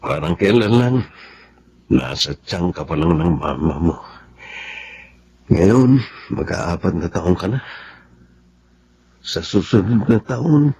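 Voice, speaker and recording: A devoted Filipino soldier and father in a radio drama. His voice is deep and soft, and he talks slowly and tenderly over faint background noise. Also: expressive, exaggerated voice. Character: gentle, devoted young soldier husband and father.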